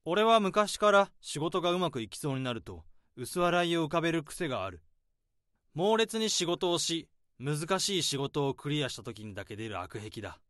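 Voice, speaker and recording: A man playing a young office worker who calmly unravels a crime in a radio drama. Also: a little high, no echo, clean studio sound. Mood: brooding narration. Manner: calm, probing, deliberate.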